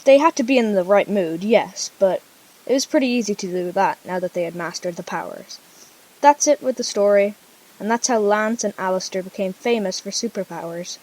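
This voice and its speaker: A woman's voice: medium pitch, normal speed, a little mellow, low-quality recording. A female storyteller narrating an amateur radio drama informally, with casual conversational asides.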